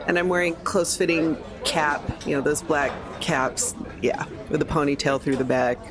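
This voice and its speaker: A woman's voice: low voice, moderate pace, over music. A woman playing a tabletop game, chatting casually and jokingly as she describes her character.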